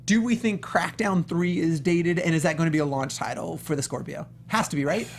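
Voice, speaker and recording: A man speaking quickly in a casual panel chat about video games, enthusiastic and slangy as a co-host. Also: on the high side, creaky, slight background noise. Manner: enthusiastic, slangy, agreeable interjections. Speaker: podcast co-host.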